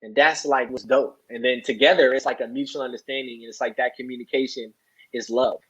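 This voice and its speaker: A man with a husky, slightly rough voice talks casually as a podcast guest. He rambles earnestly, circling back and checking in often. The recording is a bit dull and lo-fi. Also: mid-range voice, fairly even intonation, a little fast, fairly bright tone. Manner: rambling, earnest, repeats "you know". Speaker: interview guest, soccer player.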